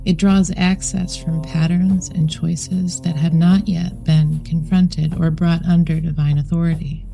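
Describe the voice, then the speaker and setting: A woman reading a scripted guided meditation in a solemn, measured way, with deliberate phrasing. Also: sits low, level tone, gravelly, warm tone, low-quality recording. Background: background music.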